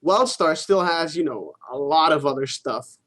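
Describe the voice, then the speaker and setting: Man, fairly high voice, casual gaming talk, opinionated, loose swearing, co-host. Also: lively intonation, moderate pace, fairly bright tone.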